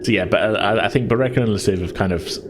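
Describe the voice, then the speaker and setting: A man's voice: fairly low pitch, rapid-fire, noisy background. A man acting as game master, narrating a tabletop role-playing game and feeling his way through descriptions.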